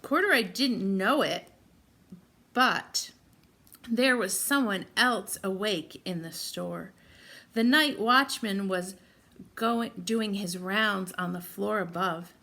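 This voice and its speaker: A woman's voice: medium pitch, takes it slowly. A woman reading a picture book aloud expressively to young children, putting simple questions to her listeners. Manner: expressive, simple teacher-like phrasing addressed to children. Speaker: read-aloud storyteller for children.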